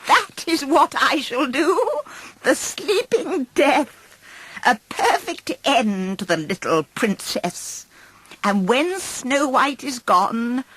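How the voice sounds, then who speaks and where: A woman voicing a wicked old hag queen in a storybook, croaky and cackling, with theatrical, drawn-out menace. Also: high voice, sharp tone, no room sound, pitched above the performer's own voice, exaggerated voice.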